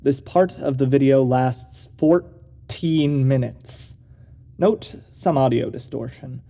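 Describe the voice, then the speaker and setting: An actor playing a solemn investigator who narrates a secret agency's audio log in a formal, measured, creaky voice. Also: male voice, medium pitch, dark tone. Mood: measured, wary.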